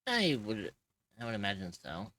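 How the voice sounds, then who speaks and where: A man hosting a casual live stream from a home streaming mic. His voice sits a little low for a man but comes across bright and forceful. He rambles loosely and laughs often. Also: huge pitch swings, moderate pace. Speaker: streamer hosting a voice-acting session.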